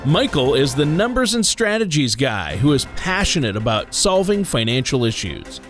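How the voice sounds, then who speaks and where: A man's voice: on the high side, sing-song, moderate pace, faint music behind. A man reading a scripted show introduction in an upbeat, polished announcer manner. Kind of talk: scripted promo narration.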